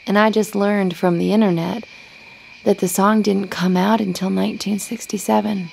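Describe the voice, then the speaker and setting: A woman reading a first-person story aloud, in a measured, conversational storytelling style. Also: mid-range voice, fairly even intonation, vocal fry, a little mellow, slight background noise, rough recording. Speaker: story reader.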